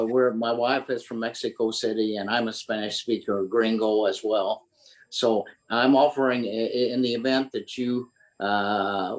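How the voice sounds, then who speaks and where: A man's voice: fairly low pitch, poor audio. Male club member, hesitant, restarts phrases, casual, video call. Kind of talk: casual chat.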